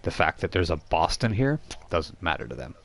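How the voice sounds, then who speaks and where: A man with a low-pitched voice acts as game master for a tabletop game. He narrates casually and off the cuff, often halting to rephrase and explain details. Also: sing-song, gravelly, fairly bright tone, slight background noise. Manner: halting, explanatory, self-correcting.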